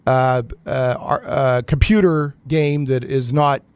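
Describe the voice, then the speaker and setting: A man hosts a casual live radio show with a dry, close sound. He talks informally and haltingly, doubling back on his words. His voice sits at an ordinary male pitch. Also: steady tone, smooth, no echo.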